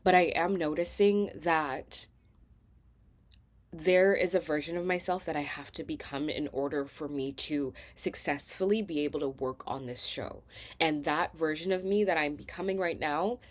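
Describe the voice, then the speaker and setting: A woman's voice: deep voice, moderate pace. A young woman with a slightly congested voice talks candidly and emphatically in a casual, confessional self-help vlog style. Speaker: vlog host and talk show producer.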